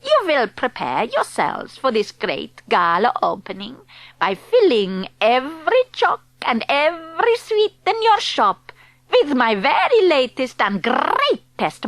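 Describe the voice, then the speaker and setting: An imperious witch queen for an audiobook, high-voiced and wildly swooping. Her put-on foreign accent mangles English as she commands theatrically and stretches her words slowly, full of scorn for children. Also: fairly bright tone, no room sound, full character voice. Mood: scheming relish. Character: Grand High Witch, imperious female villain loathing children. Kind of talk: audiobook character performance.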